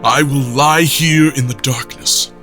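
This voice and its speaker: A man's voice: medium pitch, measured pace, bright, forceful tone, soft background music. An actor playing a troubled, brooding man in a somber, introspective scripted monologue. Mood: somber, anxious. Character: troubled, brooding man confessing inner struggle. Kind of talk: scripted first-person monologue.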